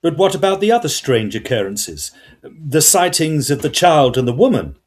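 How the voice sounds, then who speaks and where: Husky, inquisitive gentleman played by a man. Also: on the high side, animated, normal speed. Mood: puzzled, probing. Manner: formal, probing. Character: inquisitive, courteous Edwardian gentleman ghost investigator and narrator. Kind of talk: period audio drama, part narration.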